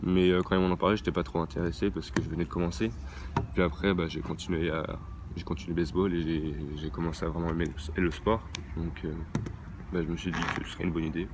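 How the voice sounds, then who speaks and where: A teenage boy with a very low voice answers questions hesitantly, stopping often to restart. He is recorded outdoors at a training ground near a motorway. Clear traffic noise runs underneath. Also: little pitch movement, fast. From France. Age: teens. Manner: hesitant, many fillers and restarts. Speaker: young baseball player interviewed. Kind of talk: interview answers. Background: traffic and ambient noise.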